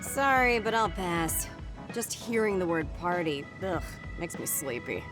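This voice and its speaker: A woman playing a sleepy, reluctant squad member in an anime game dub, wearily low on energy. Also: big pitch swings, measured pace. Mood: drowsy, resigned. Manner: weary, low-energy delivery. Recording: music clearly behind the voice.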